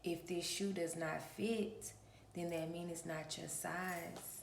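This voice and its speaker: A woman with a gravelly, just-woken voice talks in a flat, unhurried way. She speaks like a preacher to her channel's viewers and often stops to clear her throat. The room sounds echoey, with a faint hiss behind her. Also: fairly low pitch. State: raspy from early morning. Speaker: spiritual reader and channel host. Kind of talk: early-morning casual vlog address.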